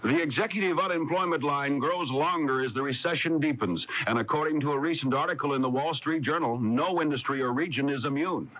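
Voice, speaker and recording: A man's voice: on the high side, a little fast, few pauses, a bit bright, highs cut off. A man with an American accent reading a scripted radio advertisement as an announcer, on a 1980s broadcast recording. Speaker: commercial announcer.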